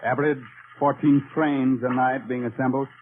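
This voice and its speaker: An actor playing a brisk, authoritative army colonel with clipped delivery in an old-time radio drama. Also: male voice, medium pitch, fairly even intonation, close to an everyday voice. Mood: brisk, businesslike. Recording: tinny, hissy vintage radio recording.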